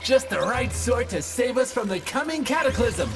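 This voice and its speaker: Cheeky little robot sidekick, wildly swooping. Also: male voice, very high voice, big pitch swings, moderate pace, exaggerated voice. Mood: excited. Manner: chirpy, irreverent, chatty. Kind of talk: video game character acting. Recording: loud music behind the voice.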